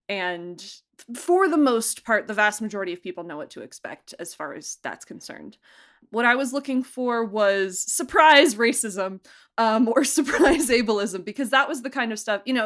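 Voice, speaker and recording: A woman's voice: medium pitch, a bit bright. A woman, an executive producer, being interviewed in a casual chat, talking in a rambling way with frequent laughs and restarts. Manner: chatty, laughs often, many likes and restarts.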